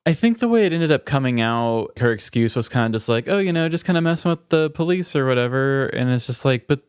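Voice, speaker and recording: A man's voice: mid-range voice, animated, fast talker, clear, resonant tone, no room sound, clean studio sound. A man co-hosting a podcast, telling stories in a casual, comedic way. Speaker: podcast co-host. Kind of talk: casual comedic storytelling chat.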